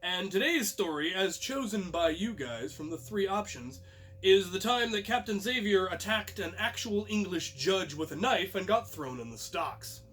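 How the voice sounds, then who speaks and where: A young man hosting a vlog, telling a personal story casually to his viewers in an animated way. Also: high-pitched, moderate pace, soft background music, low-quality recording. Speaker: host and storyteller.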